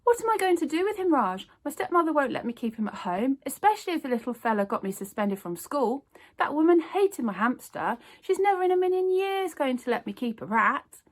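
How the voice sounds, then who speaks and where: Woman doing a quick, bold young girl. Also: high-pitched, big pitch swings, voice pushed up. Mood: worried. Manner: bright and quick.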